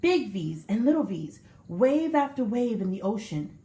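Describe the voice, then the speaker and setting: A woman teacher reading a children's picture book aloud with expressive storybook delivery. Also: a little high, measured pace. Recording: echoey room.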